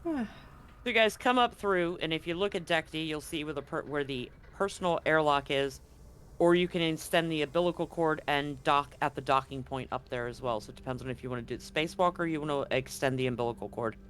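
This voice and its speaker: A man's voice: a little high, brisk, a bit bright, smooth. A man running a tabletop game, explaining scenes informally and directly to his players. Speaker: game master. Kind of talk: improvised tabletop game narration.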